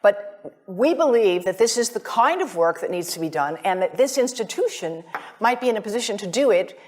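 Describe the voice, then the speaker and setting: An American woman giving an academic presentation as a visiting scientist, speaking in a deliberate, emphatic way straight to her listeners. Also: mid-range voice, expressive. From United States.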